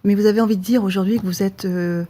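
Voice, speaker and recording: A woman's voice: medium pitch, steady tone, fast talker, dark tone. A woman hosting a podcast interview, asking long questions with frequent hesitations and restarts. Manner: hesitant, stumbling over words while asking. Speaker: podcast host.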